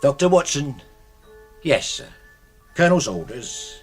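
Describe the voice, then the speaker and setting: An actor with a British accent playing a deferential, plain-spoken servant and loyal old soldier's man in a radio drama, coolly owning up to dark deeds. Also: medium pitch, sing-song, measured pace, faint music behind. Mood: matter-of-fact. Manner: deferential, says "sir" constantly, plain-spoken.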